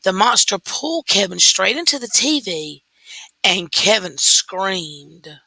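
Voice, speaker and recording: A woman reading a children's story aloud in a deliberate, expressive way, stressing key words. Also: medium pitch, husky, no echo, rough recording. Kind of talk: scripted story read-aloud.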